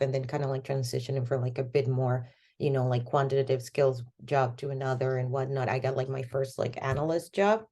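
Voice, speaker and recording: A woman's voice: rumbling low, warm tone. A woman in her thirties from Venezuela, a podcast guest talking casually about her career, full of fillers. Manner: rambling, many fillers like "like" and "you know". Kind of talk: casual conversational interview.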